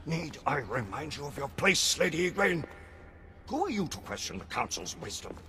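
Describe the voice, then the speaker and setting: An actor playing a stern, authoritarian old in a video game, with a husky, formal, commanding delivery. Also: male voice, fairly high pitch, big pitch swings, moderate pace. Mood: cold rebuke. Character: stern, authoritarian old Lord Chancellor of a knightly order.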